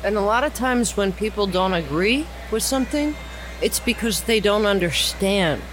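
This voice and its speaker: Woman explaining things over clear background noise. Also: medium pitch, animated, moderate pace. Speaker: podcast panel member. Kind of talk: casual panel discussion. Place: cigar lounge. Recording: clearly noisy lounge recording.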